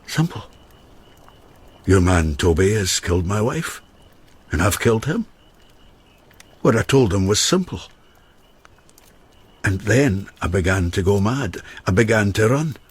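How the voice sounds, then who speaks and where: A man playing an earthy, haunted widower in a radio drama, an expressive teller who halts and repeats himself. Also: on the low side, measured pace, long pauses, breathy edge. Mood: numb, confessional. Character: haunted, earthy widower who cooks and once went mad.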